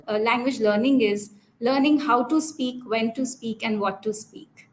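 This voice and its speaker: Measured, articulate woman on a panel. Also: fairly high pitch, slight background noise, low-quality recording. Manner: measured and articulate, with some fillers. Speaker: panel guest. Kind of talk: unscripted conversational answers.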